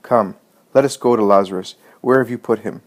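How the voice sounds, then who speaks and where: A man's voice: on the low side, a little fast, lightly acted. A man reading a compassionate holy teacher in a story, speaking with solemn authority and searching questions. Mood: firm consoling. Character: compassionate, commanding holy male teacher consoling mourners.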